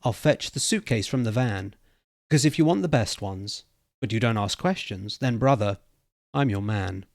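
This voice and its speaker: A man's voice: lowish voice, expressive, moderate pace, darkish tone, dry recording. Man acting innkeeper, Cockney, wheeler-dealer patter, slangy, storybook character, dry recording. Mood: cocky patter.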